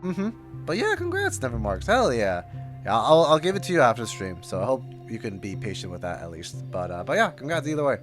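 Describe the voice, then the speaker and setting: A man chatting casually and excitably as he streams his reaction to a game. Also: mid-range voice, brisk. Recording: faint game music behind voice. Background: game music.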